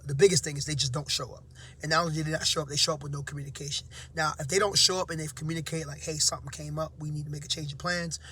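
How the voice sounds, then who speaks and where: A man who teaches, giving casual motivational advice to his viewers, slightly hoarse and half-awake, with frequent fillers and tags like "right?". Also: fairly high pitch, bright, forceful tone, a little hiss or hum. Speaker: teacher and vlog host. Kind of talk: casual motivational talk to camera.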